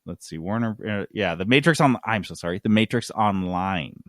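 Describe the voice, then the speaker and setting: A man's voice: fairly low pitch, normal speed, no echo, clean studio sound. A man hosting a podcast in a casual gaming news chat, talking in a wry, informal way. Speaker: podcast host.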